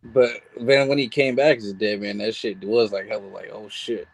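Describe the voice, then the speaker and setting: A man of about thirty with a gravelly, somewhat low voice, chiming in with short, swearing remarks during a casual chat. He keeps his comments brief and offhand. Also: fairly even intonation, moderate pace, low-quality recording.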